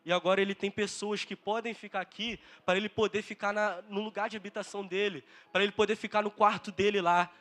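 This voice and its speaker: This is a young man with a Brazilian accent and a notably high voice for a man. He preaches with earnest passion, as if giving testimony. He leans on repeated phrases and rhetorical questions to press each point home. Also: flat delivery, fast, hardly any pauses, a bit bright. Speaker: preacher at a church conference. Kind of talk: impassioned, testimonial sermon.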